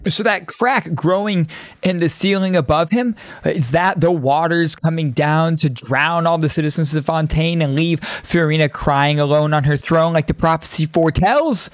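A man's voice: a little high, normal speed, no room sound. A man in his thirties giving enthusiastic, casual reaction commentary as a video host, close on a desk microphone. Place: small indoor streaming room. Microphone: close desk microphone on boom arm.

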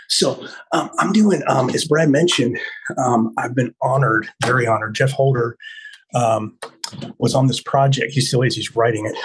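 A man in his fifties giving an enthusiastic, casual walking tour over a phone video call, speaking in a halting way. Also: mid-range voice, background noise. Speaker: podcast host giving a studio tour. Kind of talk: casual chat, walk-through tour. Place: indoors, walking through studio offices and hallways. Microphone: phone held at arm's length on video call.

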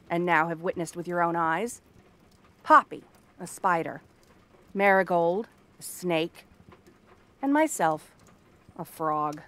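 A woman's voice: mid-range voice, a bit bright, close to an everyday voice. A woman playing a cranky exiled princess turned frog girl in a fantasy ASMR roleplay, haughty, sarcastic and theatrical. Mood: bitter, indignant. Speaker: voice actress.

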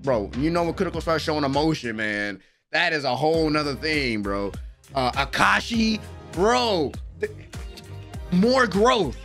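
A man's voice: fairly high pitch, very animated, a little slow, creaky, a bit bright, faint music behind. A young American man giving casual, emphatic reaction commentary full of slang, speaking close to a microphone in a small room at home. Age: young adult. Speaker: anime reaction host. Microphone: desk microphone close up, headset worn.

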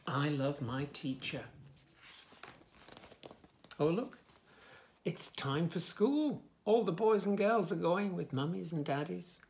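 A man in his fifties reading a picture book aloud to young children, with expressive, sing-song delivery and soft asides. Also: fairly high pitch, slow pace, vocal fry, long pauses, slight background noise. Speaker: children's story reader, host. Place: small furnished room indoors, bookshelves.

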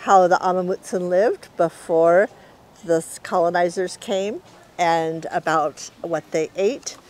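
A middle-aged woman reading a children's story aloud in an expressive storyteller's way. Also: fairly low pitch, measured pace.

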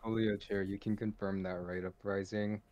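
A man's voice: on the low side, flat delivery, moderate pace, a little mellow, muffled recording. A young man bantering casually and jokingly while commenting on a game, using plenty of slang. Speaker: esports scrim commentator.

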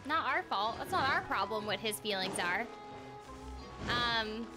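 A young woman live-streaming a game in a high, animated voice. She talks casually and playfully at a slow pace, with game music clearly behind her. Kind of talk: casual live stream chat while playing.

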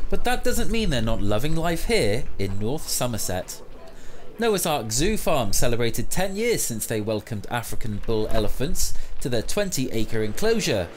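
A man's voice: medium pitch, a little hiss or hum. A man reading a scripted news voice-over in an English accent. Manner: lively read narration. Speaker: news reporter.

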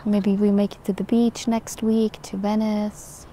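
A young woman from Austria, chatting casually to the camera as a vlogger, relaxed and talkative. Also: mid-range voice, a little slow, slight background noise, poor audio. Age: young adult.

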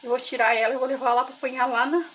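A middle-aged woman talks to her viewers in a bright, high voice. She walks them through a simple recipe at home on her farm and keeps turning to them with "gente". A faint hiss of noise sits under her voice. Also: flat delivery, very fast, poor audio. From Brazil. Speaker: cooking vlogger. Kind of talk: casual cooking demonstration.

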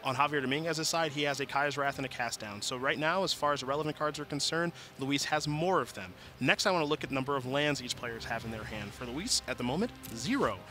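Quick analytical male commentator. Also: medium pitch, bright, forceful tone. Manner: methodical, explanatory. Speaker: esports commentator. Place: broadcast desk in an event arena. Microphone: headset. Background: music.

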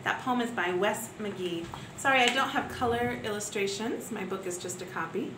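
A young woman teacher reading poems aloud to young pupils in an expressive sing-song way, with gentle asides between poems, filmed at home on a close webcam. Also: medium pitch, normal speed, noisy background. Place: living room at home. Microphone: webcam at close range. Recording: echoey room with faint ambience.

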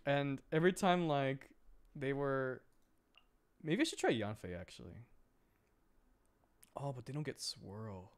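A man's voice: mid-range voice, big pitch swings, a little slow, long pauses. A man chatting casually on a game livestream, rambling and often repeating his words as he reacts to viewers. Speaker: game streamer.

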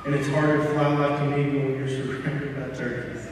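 A man in his thirties delivers a eulogy from a church lectern, his voice flat and halting with emotion. The sound is heavily echoey with a long reverb tail, picked up from far off with faint room ambience behind. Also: mid-range voice, background noise. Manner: halting and emotional, trailing off at line ends. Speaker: son giving a eulogy. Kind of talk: reading a memorial poem, then personal eulogy. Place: church sanctuary, speaking at a lectern. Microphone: lectern microphone, recorded from a distant camera.

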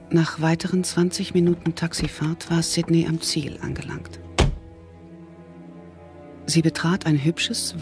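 A man's voice: fairly high pitch, vocal fry. A man reading scripted narration for a thriller audio drama. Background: music under the voice.

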